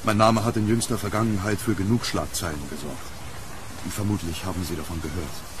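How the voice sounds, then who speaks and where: Haunted gentleman archaeologist male actor, lowish voice, polite and brooding, horror radio play with loud rainy street ambience. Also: fairly even intonation, brisk, background noise, lightly acted. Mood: reserved. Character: troubled, courteous gentleman archaeologist haunted by his past. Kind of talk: audio drama acting.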